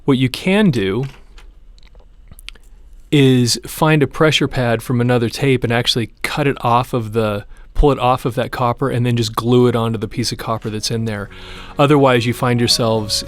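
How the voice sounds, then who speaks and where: A man presenting a hands-on repair tutorial, explaining each step in an informal, plain-spoken way. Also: medium pitch. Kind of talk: casual hands-on how-to demonstration.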